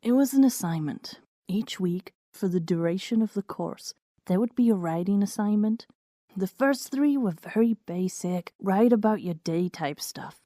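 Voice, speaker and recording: A woman's voice: medium pitch, lively intonation, a little slow, warm tone, no echo, studio quality. A woman narrating a scripted story in the first person.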